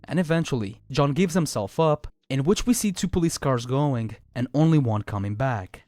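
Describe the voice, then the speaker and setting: Male video essay narrator: expressive and dramatic, wide pitch movement, very dry recording. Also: normal speed, no echo. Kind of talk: scripted narration of a horror series analysis.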